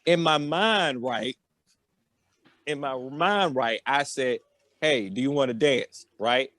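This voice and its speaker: A man's voice: medium pitch, takes it slowly, fairly bright tone, no echo. An American man telling a personal story in a loose, rambling, slangy way, often tacking on filler phrases. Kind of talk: casual, rambling comedic storytelling.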